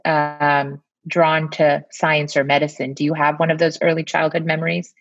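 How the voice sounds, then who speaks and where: Low-voiced woman podcast host, lotsa ums, dull dry muffled sound. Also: level tone, no room sound. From India. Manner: warm, measured, many ums. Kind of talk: casual conversational chat.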